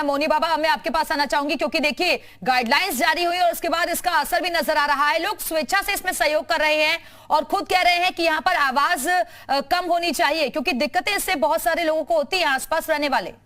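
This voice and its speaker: A woman's voice: high voice, a little fast, fairly bright tone. A woman presenting live television news as an anchor, emphatic and repeating her headlines.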